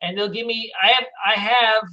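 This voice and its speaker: A middle-aged man teaching day trading in a casual, loud and blunt way, hooting and swearing as he talks over a screen share. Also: high voice, fairly even intonation, normal speed, highs cut off. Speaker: trading educator.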